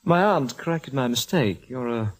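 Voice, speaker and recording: A man playing a sly, smug villain with mocking courtesy and a husky voice in 1940s radio detective drama. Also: mid-range voice, lively intonation, moderate pace, close to an everyday voice. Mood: smug, flirtatious.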